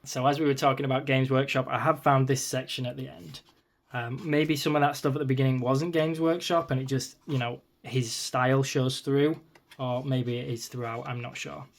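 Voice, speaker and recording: A man's voice: mid-range voice, little pitch movement, moderate pace, vocal fry. A man hosting his channel, chatting casually while flicking through an art book, his voice a little strained from a long day of talking, with frequent ums and asides. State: voice slightly strained, losing it.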